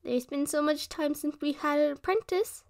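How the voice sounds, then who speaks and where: A woman's voice: high-pitched, moderate pace, darkish tone. A woman playing a protective, playful resurrected witch in an ASMR roleplay, speaking in a sweet, theatrical way. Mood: reassuring.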